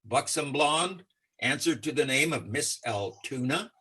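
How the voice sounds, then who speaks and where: An actor playing an affable, oblivious bachelor jockey who drops in as the neighbor in an old-time radio comedy. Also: male voice, mid-range voice, animated, a little slow, sharp tone, slightly rough, exaggerated voice. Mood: breezy, affable.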